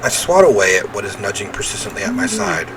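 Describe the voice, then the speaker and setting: A man's voice: fairly high pitch, wildly swooping pitch, fast talker, vocal fry, noisy background. A man reading a visual novel aloud as he plays, with theatrical, emphatic narration and offhand reactions.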